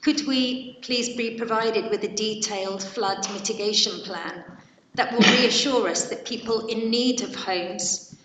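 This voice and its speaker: A woman with a croaky voice, mid-pitched, carefully reads out a question at a council meeting. She sits back from the mic, and a long echo rings through the hall. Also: a bit bright, far from the mic, a little hiss or hum.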